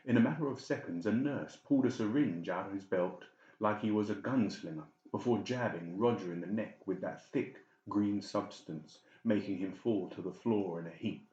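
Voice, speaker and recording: A man's voice: on the low side, moderate pace, few pauses, warm tone, roomy sound, lo-fi sound. A man reading scripted, dramatic narration as an audiobook narrator.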